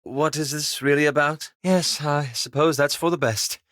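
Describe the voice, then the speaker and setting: A man playing a polite, anxious, scholarly elven wizard in a video game, speaking with formal, measured, courteous diction. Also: fairly high pitch, steady tone, fairly bright tone, no echo, studio quality, natural voice. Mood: polite, flustered. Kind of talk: video game character dialogue.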